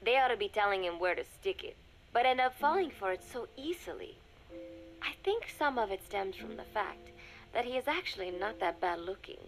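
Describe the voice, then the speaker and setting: An actress playing a young secret agent confiding in a recorded diary, speaking in measured, formal phrases that often drop to a murmur, wistful and resigned. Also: female voice, mid-range voice, expressive, soft background music, close to an everyday voice, rough recording. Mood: wry. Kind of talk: scripted dramatic monologue, recorded diary.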